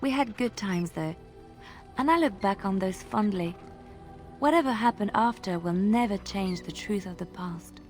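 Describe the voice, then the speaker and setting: An actress playing a devout, gentle young woman, a reflective companion in a fantasy video game. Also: mid-range voice, moderate pace, faint music behind, close to an everyday voice. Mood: wistful. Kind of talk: video game character acting.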